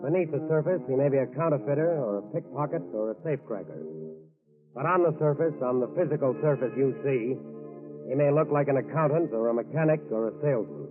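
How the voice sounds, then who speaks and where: A man's voice: mid-range voice, fast. A man narrating a crime drama in dramatic, scripted 1940s radio style, on an old tinny recording. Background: music.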